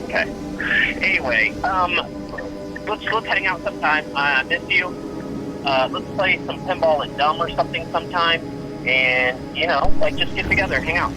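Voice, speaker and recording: A man plays a playful, affectionate pal in a fiction podcast. His voice runs a little high and he rambles in a goofy way, with clear music underneath. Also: normal speed, lightly acted, low-quality recording. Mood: affectionate, casual.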